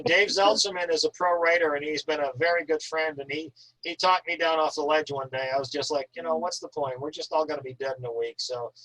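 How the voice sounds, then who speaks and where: A man with a somewhat high voice talks in a casual, enthusiastic style. He is effusive and wordy, stressing his points like an author keen to share his work. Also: a bit bright, no echo, poor audio. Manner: effusive, talkative, emphatic. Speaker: author, guest at an online reading. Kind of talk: casual enthusiastic chat about writing.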